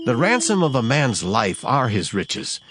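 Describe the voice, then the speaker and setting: A man's voice: medium pitch, very animated, measured pace. A man reading Bible verses in an emphatic, formal, dramatized style. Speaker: scripture reader. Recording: music behind the voice. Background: background music.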